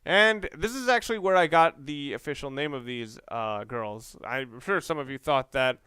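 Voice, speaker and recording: A man's voice: a little high, normal speed, clean tone, no echo. A man giving casual, off-the-cuff video game commentary, rambling and often rephrasing himself mid-sentence. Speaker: let's play commentator. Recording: loud game music and gunfire behind. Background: video game music and gunshots.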